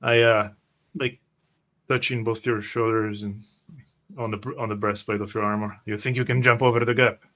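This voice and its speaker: A man's voice: on the low side, flat delivery, normal speed, a bit bright. A man voicing a caring companion character in a tabletop roleplay. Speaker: player.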